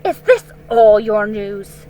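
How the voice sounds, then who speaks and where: Imperious witch queen, booming threats, drawn-out delivery , voiced by a woman. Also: expressive, highs cut off, a little hiss or hum.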